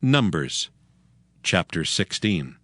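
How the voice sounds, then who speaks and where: A man's voice: deep voice, very animated, slow pace, fairly bright tone, no room sound, studio quality. A man narrating scripture from a script in a formal, solemn manner.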